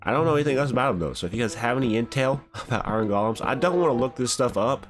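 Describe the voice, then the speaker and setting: A man's voice: mid-range voice, expressive, racing. A young man with a stuffy, cold-sick voice, rambling casually through gameplay commentary and often saying "bro". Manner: laid-back, rambling, says "bro" often. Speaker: gaming channel host. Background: background music.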